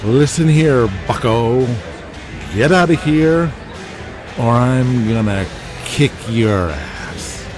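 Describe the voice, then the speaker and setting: A man playing a young, cocky, tipsy wrestler's sidekick in an audio drama, loose and excitable. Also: mid-range voice, slow, close to an everyday voice. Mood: drunken bluster. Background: music.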